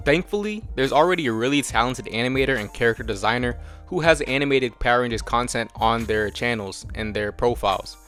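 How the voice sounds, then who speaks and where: A man's voice: medium pitch, a little fast, hardly any pauses, fairly bright tone. Casual young American man, music underneath. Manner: slangy, cursing, jokey. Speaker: video essay host. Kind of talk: casual scripted commentary.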